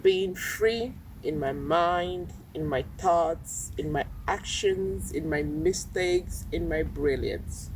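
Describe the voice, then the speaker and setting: Slow, lowish-voiced woman reading poetry. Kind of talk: spoken-word poetry reading. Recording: distant mic, faint background noise.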